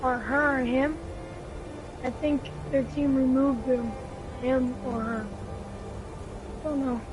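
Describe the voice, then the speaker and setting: A woman's voice: sits high, fairly even intonation, slow, highs cut off, over music. A woman chatting casually while playing a video game. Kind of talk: casual chat during gaming. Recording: noisy home recording, clear background noise. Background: background noise.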